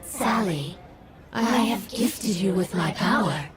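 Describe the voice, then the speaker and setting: A woman's voice: medium pitch, expressive, takes it slowly. A woman voicing a haughty supernatural sorceress wrestler in a video game, speaking in a grandiose, solemn manner. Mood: imperious. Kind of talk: video game cutscene acting. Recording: clearly noisy background ambience.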